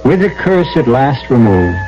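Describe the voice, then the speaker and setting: Rugged radio adventurer, dull vintage sound. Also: male voice, mid-range voice, sing-song, a little slow, over music. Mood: storytelling, dramatic. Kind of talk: old-time radio drama acting and first-person narration.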